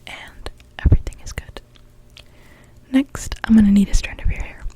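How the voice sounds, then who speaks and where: A young woman whispering gently and without hurry in an ASMR witch roleplay, speaking directly to the listener. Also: normal speed. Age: young adult. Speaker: ASMR performer.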